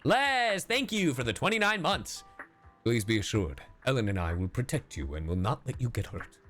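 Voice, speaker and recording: A man's voice: mid-range voice, big pitch swings, normal speed, a bit bright. A man streaming a video game with casual, playful commentary, sometimes reading text aloud. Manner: playful, profane, hums to himself. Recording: game music behind the voice.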